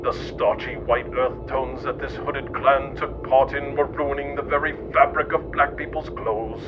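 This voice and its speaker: A man reading scripted satirical narration in a deadpan, mock-serious manner. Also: mid-range voice, background noise. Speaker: narrator of a satirical history podcast. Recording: muffled lo-fi audio under music. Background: background music.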